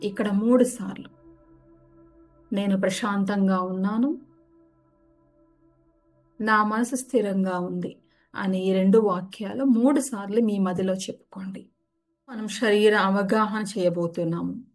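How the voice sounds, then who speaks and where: Gentle woman guiding meditation over music. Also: mid-range voice, fairly even intonation. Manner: gentle, unhurried step-by-step instructions. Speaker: yoga nidra guide. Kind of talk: guided relaxation meditation instruction. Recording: music behind the voice. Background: background music.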